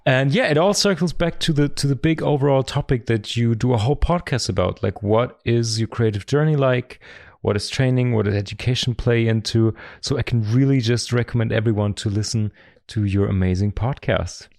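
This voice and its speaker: A man's voice: medium pitch, a little fast, a little mellow, dry recording, clean studio sound. A man hosting a podcast, upbeat as he sums up the talk and asks his guest closing questions. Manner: upbeat, wrapping up with summaries and questions. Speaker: podcast host. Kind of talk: casual interview chat. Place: podcast studio.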